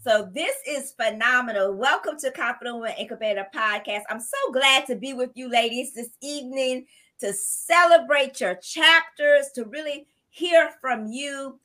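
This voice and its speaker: Bright, effusive woman hosting. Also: high voice, normal speed. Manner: effusive, enthusiastic, preacherly. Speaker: podcast host and writing coach. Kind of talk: hosting a podcast book launch.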